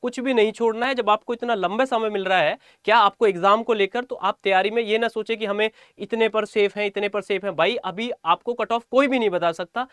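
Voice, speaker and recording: A man's voice: high voice, fairly bright tone, clear, resonant tone, no room sound, studio quality. A man giving an informal exam-update lesson to students as a coaching teacher, repeating phrases for emphasis.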